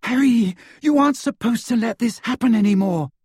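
Man voicing an urgent, high-pitched scolding teenage girl. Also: fairly quick, darkish tone, husky, dry recording, studio quality. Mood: exasperated scolding. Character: earnest, bossy, exasperated teenage girl.

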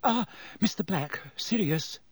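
A man's voice: high voice, lively intonation, a little slow, husky, no echo, pitched above the performer's own voice, heavily acted. A man voicing a timid, earnest teenage schoolgirl in an audiobook, speaking politely and hesitantly. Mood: polite, cautious. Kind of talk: audiobook character voice.

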